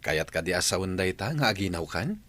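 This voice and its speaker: A man's voice: low voice, very animated, fairly quick, a bit bright, no room sound, full character voice. A man playing an exasperated but teasing young husband in a comedy radio drama, with an Ilocano Filipino accent. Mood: exasperated, baffled.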